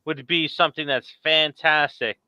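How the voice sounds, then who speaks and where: A man with a smooth, clean voice and a middling pitch hosts a casual film podcast. He talks in a loose, conversational way. The audio is close and dry, with a slightly muffled top end. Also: fairly even intonation, normal speed, bright, forceful tone, dry recording. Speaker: podcast host. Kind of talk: casual chat about horror films.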